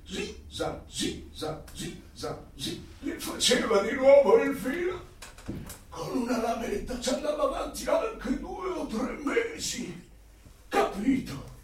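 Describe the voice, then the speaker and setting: High-pitched rambling old grandfather. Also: big pitch swings, slow, heavily acted. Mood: nostalgic rambling. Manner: chatty, rambling, confused. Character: rambling, grumbling old grandfather reminiscing about the past. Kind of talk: radio drama acting. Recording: echoey, distant, faint noise.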